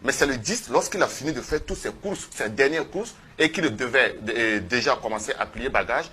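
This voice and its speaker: A man giving impassioned testimony as a guest in a television studio, speaking emphatically and haltingly, often repeating phrases. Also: mid-range voice, animated, sharp tone, slight background noise. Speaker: activist guest on a TV panel.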